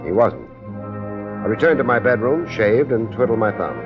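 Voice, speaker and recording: Deadpan low-voiced radio private eye. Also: male voice, moderate pace. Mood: wry narration. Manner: deadpan, dry, laconic. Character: wry, hard-boiled male private investigator. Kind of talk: 1950s radio detective drama acting and narration. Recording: dull 1950s radio recording, music behind.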